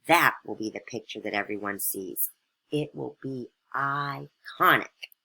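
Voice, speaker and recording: A woman's voice: extremely low pitch, a little slow, gravelly, sharp tone, slightly rough, exaggerated voice, poor audio. A woman voicing a vain, scheming, condescending duchess in a storybook tale. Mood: smug scheming. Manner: haughty, condescending, self-absorbed.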